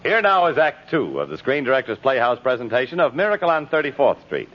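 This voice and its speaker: A man working as a radio announcer in 1950s style, giving polished, emphatic sponsor pitches and program introductions. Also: big pitch swings, fast, music in the background. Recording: muffled old 1950s radio recording.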